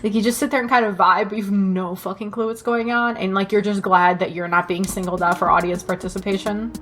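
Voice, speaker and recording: A woman's voice: mid-range voice, steady tone, brisk, a bit bright. A woman co-hosting a casual comedy podcast, talking in a wry, playfully dramatic way. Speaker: podcast co-host.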